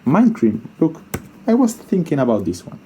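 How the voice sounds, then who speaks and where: Male tutorial presenter, soft mellow tone, informal and hesitant, thinks out loud as he demonstrates. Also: a little high, wildly swooping pitch, moderate pace, slight background noise. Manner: informal, hesitant thinking aloud, repeats himself, mild swearing. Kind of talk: casual unscripted screen-share coding tutorial.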